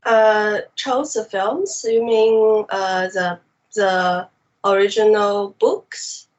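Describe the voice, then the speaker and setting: A woman's voice: mid-range voice, steady tone, very slow, darkish tone, muffled recording. A woman from China with a Mandarin accent, native Mandarin speaker, answering interview questions in careful English with many hesitations. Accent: Mandarin Chinese. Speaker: animation director guest.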